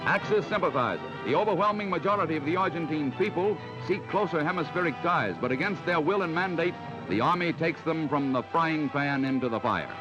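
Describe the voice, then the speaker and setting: High-pitched 1940s American newsreel man. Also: lively intonation, fairly quick. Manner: dramatic, emphatic declamatory delivery. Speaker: newsreel narrator. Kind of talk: 1940s newsreel narration, scripted. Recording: dull vintage 1940s mono film soundtrack. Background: loud music.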